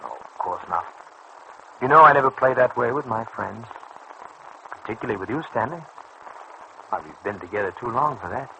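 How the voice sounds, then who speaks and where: An actor in an old radio serial playing a suave, scheming crime boss who coaxes and patronises in precise, persuasive phrases. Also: male voice, medium pitch, lively intonation, moderate pace, vocal fry, pauses often, sharp tone, low-quality recording. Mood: soothing. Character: smooth, scheming male racket boss, coaxing and patronising. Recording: old radio recording with faint noise.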